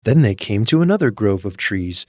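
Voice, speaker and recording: Lower-pitched man narrating with lively intonation. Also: moderate pace, dry recording, studio quality. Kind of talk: bedtime fairy-tale narration, scripted.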